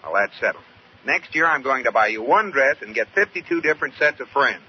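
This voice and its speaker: Man acting wry exasperated husband, 1940s radio comedy, lively pitch swings, teasing, muffled noisy old recording. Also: brisk, lightly acted. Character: teasing, exasperated young husband, a bank employee.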